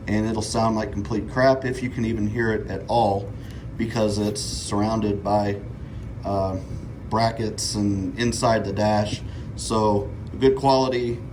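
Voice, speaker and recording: A man's voice: lowish voice, noisy background. A man hosting a do-it-yourself vlog, explaining his work casually in an informal, folksy, self-deprecating way. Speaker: DIY vlog host. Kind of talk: casual hands-on how-to narration.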